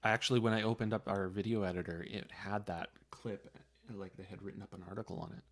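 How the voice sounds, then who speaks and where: A man co-hosting a casual podcast, easygoing and thoughtful as he pitches ideas. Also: fairly even intonation, quick pace. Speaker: podcast co-host. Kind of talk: casual chat.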